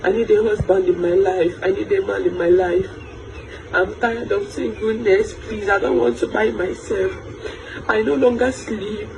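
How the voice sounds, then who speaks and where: A woman's voice: mid-range voice, steady tone, moderate pace, gravelly, background noise. A woman in her late thirties, pleading over and over in a social media video. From Nigeria. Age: 30s. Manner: repetitive, pleading.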